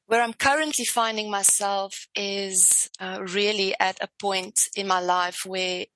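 A woman's voice: mid-range voice, fairly even intonation, measured pace, bright, forceful tone, dry recording, poor audio. A woman from South Africa with a South African accent, a native Afrikaans speaker, talking effusively as a guest on an interview podcast. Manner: effusive, enthusiastic, searching for words. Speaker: spiritual life coach guest.

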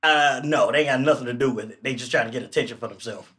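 A man's voice: mid-range voice, fast talker, a bit bright. A man co-hosting a casual podcast, telling stories in an easygoing way. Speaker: podcast co-host. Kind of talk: casual chat.

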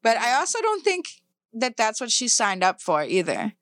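A woman's voice: a little high, huge pitch swings, normal speed, fairly bright tone, no echo. A woman in her thirties, chatting casually and profanely, full of "like" fillers. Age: 30s. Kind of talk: casual chat.

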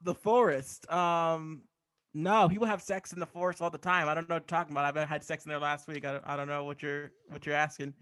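A man with a bright, somewhat high voice performing improv comedy. He rambles casually, repeating phrases as he goes. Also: steady tone, fast talker. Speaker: improv comedy cast member.

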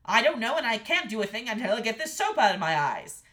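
A woman voicing a commanding, protective king and father in a storybook reading, loud and authoritative. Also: mid-range voice, sing-song, normal speed. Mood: flustered.

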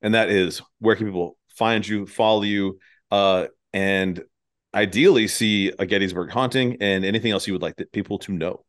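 A man's voice: on the low side, moderate pace. A man hosting a podcast in casual chat, with an irreverent, sweary way of talking. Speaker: podcast host.

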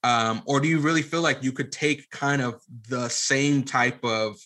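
A man in casual podcast conversation, talking in a halting, rambling way and often restarting his phrases. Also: mid-range voice, bright, forceful tone. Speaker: podcast guest and media producer. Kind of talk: casual conversational interview talk.

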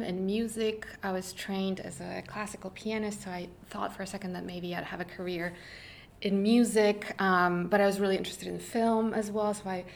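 A woman's voice: medium pitch, a little hiss or hum. A young woman, a guest artist chatting thoughtfully in an interview with many small fillers. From Poland. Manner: thoughtful, with frequent fillers and restarts. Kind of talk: casual interview chat. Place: studio space indoors.

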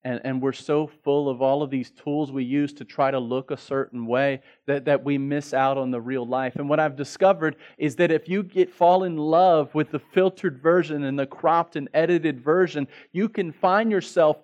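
A man's voice: fairly high pitch, fairly even intonation, normal speed, few pauses, darkish tone, muffled recording. A middle-aged male pastor preaching a sermon in a conversational, storytelling way, repeating words as he restarts and rising to emphatic peaks.

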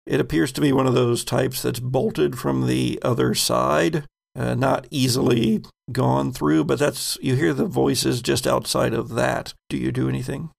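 A man with a gravelly voice narrating a tabletop roleplaying game as game master, descriptive and deliberate. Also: mid-range voice, steady tone. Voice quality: gravelly, creaky.

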